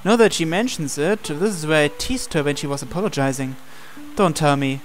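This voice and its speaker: A man's voice: fairly high pitch, fairly quick. A man reading visual novel dialogue and narration aloud as the male protagonist, with game music behind him. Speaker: gameplay reader voicing the male protagonist. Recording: music playing under the voice.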